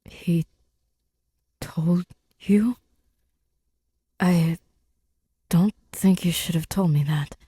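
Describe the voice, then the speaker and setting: Slow, breathy, low-voiced woman reading fiction. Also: warm tone, no echo. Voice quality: breathy, often near a whisper. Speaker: podfic reader. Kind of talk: scripted fiction narration, intimate and subdued.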